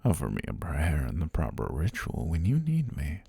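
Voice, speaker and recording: A man voicing an ancient serpent god, calm, predatory yet tender, in a slow, formal, whispery ASMR roleplay with a husky, breathy low voice. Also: heavily acted. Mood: wistful, gentle. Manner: slow, deliberate, formal speech with whispery delivery. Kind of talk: intimate ASMR roleplay monologue.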